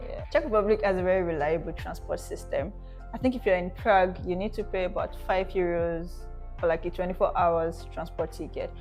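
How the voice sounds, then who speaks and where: Brief: woman host, Yoruba native, lowish voice, curious and chatty, faint music under. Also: a little mellow. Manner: curious, chatty, quick to react with exclamations. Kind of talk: casual chat.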